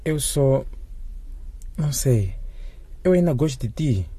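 A man's voice: medium pitch, animated, takes it slowly, mellow. A man playing an earnest, polite teenage boy in a radio drama. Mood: shy, tender. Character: earnest, modest teenage boy, school swimmer. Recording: echoey hall, noisy crowd behind.

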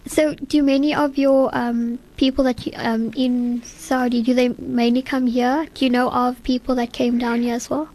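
A woman hosts a radio interview from the studio. Her voice sits high, and she nudges her guest along with friendly, quick follow-up questions. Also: flat delivery, a little mellow, rough recording. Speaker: radio show host.

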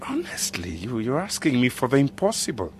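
An earnest, expressive village official. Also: male voice, medium pitch, slight background noise. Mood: earnest, resolute. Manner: measured, earnest, polite. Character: principled, calm male village official. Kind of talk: radio drama acting.

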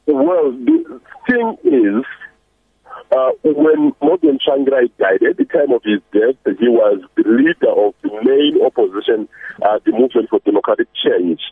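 A man's voice: medium pitch, sing-song, moderate pace, creaky, husky, dry recording. A man from Zimbabwe, a human rights director, answering as a phone guest, hesitant with many fillers. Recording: tinny phone line.

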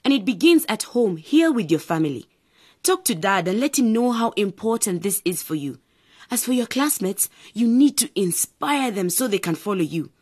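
A frank, encouraging older sister in a radio drama. Her voice is husky and of medium pitch. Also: expressive, normal speed, darkish tone.

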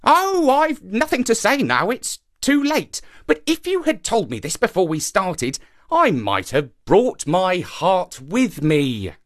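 A man voicing a clever, excitable monkey in an animal folktale, exclaiming theatrically with indignant, crafty airs. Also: sits high, takes it slowly, voice pushed up, exaggerated voice. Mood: reproachful.

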